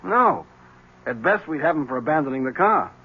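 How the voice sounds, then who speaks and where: An actor playing a wry, unbothered police lieutenant in a 1970s radio mystery, talking in a dry, matter-of-fact way. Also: male voice, on the high side, big pitch swings, normal speed, husky, heavily acted. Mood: weary, matter-of-fact. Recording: dull old radio broadcast.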